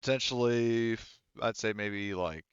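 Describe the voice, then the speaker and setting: A man's voice: lowish voice, unhurried, clean tone, no room sound, lo-fi sound. A man casually improvising as game master of a tabletop roleplaying game.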